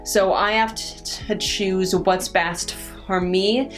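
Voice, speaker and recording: A woman's voice: mid-range voice, steady tone. A young woman answering viewer questions in a casual chat, stuttering on first sounds and restarting her sentences often. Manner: halting, many restarts and pauses. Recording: faint guitar music underneath.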